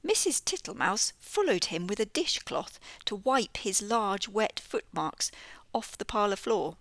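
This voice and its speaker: A British woman reading a children's story aloud in an expressive, lilting way. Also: medium pitch, a little slow, no room sound. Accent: British English. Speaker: audiobook reader.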